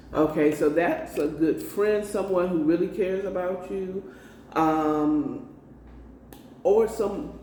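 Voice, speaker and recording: A woman's voice: fairly low pitch, mellow. An American woman giving a casual spiritual card reading, coaxing emphatically and repeating herself with frequent check-in tags. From United States. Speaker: tarot card reader. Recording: roomy, distant mic with faint noise.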